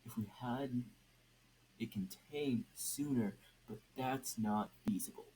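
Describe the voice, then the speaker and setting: A brooding male vigilante, played by a male reader, speaks gruffly and without hurry. His tone is dark and mellow, over faint noise in a homemade story recording. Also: medium pitch, takes it slowly, natural voice. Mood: grim. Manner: gruff, clipped. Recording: noisy amateur home recording.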